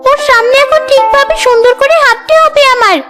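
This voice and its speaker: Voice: extremely high pitch, fairly even intonation, fairly quick. A cartoon voice playing a vain, bossy young village woman, dramatic and complaining, with music behind. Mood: vain, fussy. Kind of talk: cartoon character dialogue.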